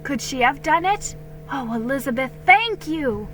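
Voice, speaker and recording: A woman voicing an excitable, sweet young girl, exclamatory and breathless with wonder, in a dramatic storybook reading. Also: high-pitched, a little slow, faint music behind, voice pushed up, low-quality recording. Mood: delighted, grateful. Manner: gasping, exclamatory.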